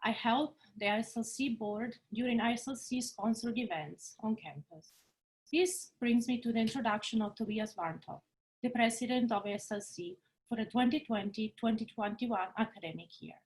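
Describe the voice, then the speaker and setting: A woman with a somewhat high voice speaks as an administrative assistant. She delivers a prepared, scripted introduction to her office duties. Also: normal speed, darkish tone, low-quality recording. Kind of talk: scripted orientation presentation.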